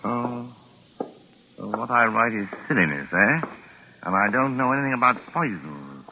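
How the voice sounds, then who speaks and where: An animated, bright-voiced British gentleman. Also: mid-range voice, moderate pace. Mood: genial. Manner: urbane, wry, excitable. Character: jaunty, talkative English gentleman mystery writer. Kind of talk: 1940s radio acting. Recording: noisy vintage radio broadcast recording.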